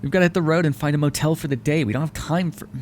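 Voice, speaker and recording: A man's voice: fairly high pitch, very fast, a little mellow, a little hiss or hum, lightly acted. A man voicing a sarcastic, foul-mouthed vampire who is grudgingly helpful and hungry, speaking intimately to the listener in an audio roleplay. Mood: exasperated. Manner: sarcastic, wry, exasperated, conversational. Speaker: roleplay voice actor.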